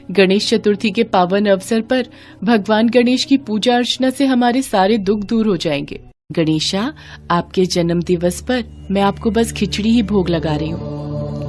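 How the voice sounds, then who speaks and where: A woman's voice: medium pitch, a little mellow, natural voice. A woman playing a poor, humble, devout wife and mother in a devotional story. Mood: pleading, devout. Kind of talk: dramatic devotional story acting.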